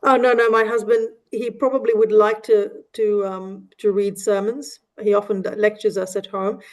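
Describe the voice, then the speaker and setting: A woman speaking in a relaxed online discussion, hesitant with many fillers and restarts. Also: medium pitch, moderate pace. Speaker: mosque community member. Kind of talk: casual discussion. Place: online meeting.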